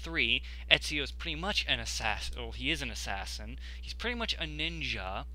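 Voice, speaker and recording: A man's voice: mid-range voice, very animated, normal speed, pushed and bright, slight background noise, poor audio. A young man giving casual, rambling video commentary as an enthusiastic gaming fan. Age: young adult.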